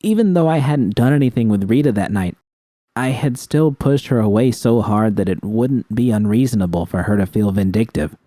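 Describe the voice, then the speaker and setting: A man's voice: on the low side, animated, moderate pace, mellow, no room sound. A man reading scripted first-person fiction as an audiobook narrator.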